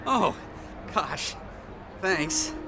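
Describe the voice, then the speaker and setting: A man's voice: high voice, lively intonation, extremely slow, a crowd in the background, heavily acted. A man playing a timid, self-deprecating, lovesick young soldier in a video game scene. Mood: lovesick, glum. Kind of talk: video game cutscene acting. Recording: music behind the voice.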